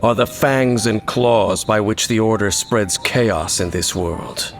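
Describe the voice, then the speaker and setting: An actor playing a stoic young male wanderer in a game, calm and measured. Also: fairly low pitch. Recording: game audio with music underneath.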